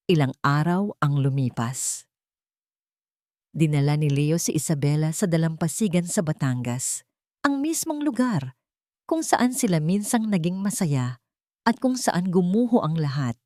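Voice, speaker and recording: A Filipino woman tells a romantic drama as a scripted narration. Her voice sits low and has a soft, dark warmth. The sound is close and dry. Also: dry recording. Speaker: storyteller.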